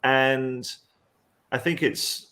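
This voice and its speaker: A man's voice: medium pitch, steady tone, very slow, bright, forceful tone. Informal, rambling male panel moderator. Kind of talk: casual panel hosting.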